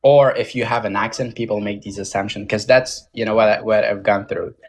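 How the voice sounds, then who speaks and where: A young man, a podcast guest who grew up outside the English-speaking world, speaking in a halting, casual way with many fillers and restarts. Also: lowish voice, steady tone. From Tajik American, born in Moscow. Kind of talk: casual interview talk.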